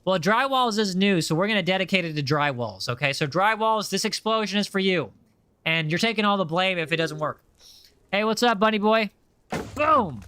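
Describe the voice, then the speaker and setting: A man's voice: high-pitched, sharp tone, clean tone, no room sound. A young American man with a general American accent, chatting casually and upbeat while playing a game on a livestream and reading chat aloud. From USA. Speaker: streamer.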